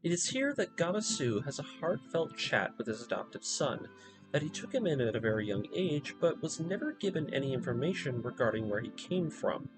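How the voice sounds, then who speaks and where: A man giving a scripted story recap in a measured, explanatory storytelling manner, as the narrator of a lore video. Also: medium pitch, rough recording.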